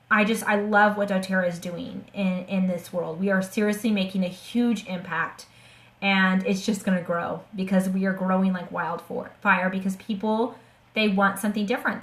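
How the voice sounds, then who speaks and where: A hoarse woman chatting eagerly to her viewers on a live stream, her voice at an ordinary middle pitch. She trips over her words and restarts often. The sound rings in an echoey room. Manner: enthusiastic, chatty, many restarts and fillers. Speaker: essential oils seller hosting a webinar. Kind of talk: casual live-stream sales talk.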